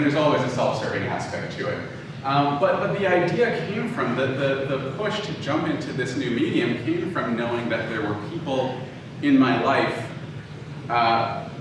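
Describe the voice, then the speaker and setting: A man with a mid-pitched voice gives an informal, story-led lecture. He is conversational and emphatic. The recording is heavily echoey and picked up from a distance, with clear noise behind him. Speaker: audio drama producer giving a lecture. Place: live talk in a reverberant room.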